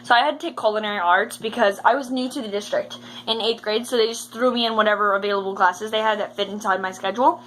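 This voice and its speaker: A woman's voice: mid-range voice, fairly even intonation, quick pace, few pauses, fairly bright tone, poor audio. A young American woman chatting casually as she tells stories, acting out the dialogue in a giggly way. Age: teen or young adult. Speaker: vlogger telling school stories. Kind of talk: casual storytime chat. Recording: faint background noise.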